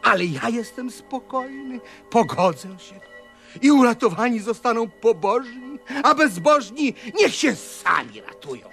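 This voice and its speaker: Very high male voice, sing-song, lively swings, pious zealot, radio play, music behind. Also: measured pace, heavily acted. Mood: serenely fervent. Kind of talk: radio drama acting.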